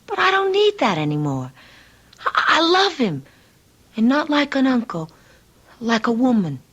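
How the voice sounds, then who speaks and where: A woman's voice: high voice, very animated, measured pace, a bit bright, slightly rough, full character voice. An actress playing an earnest, lovestruck young woman with a hard shell, emotional and hesitant, in a radio mystery drama. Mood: earnest longing.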